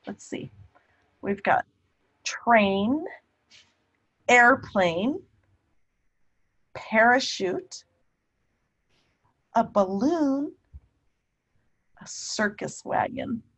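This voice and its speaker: A woman teacher reading a picture book aloud to children, pausing to ask them simple, encouraging questions. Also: fairly high pitch, expressive, fairly bright tone.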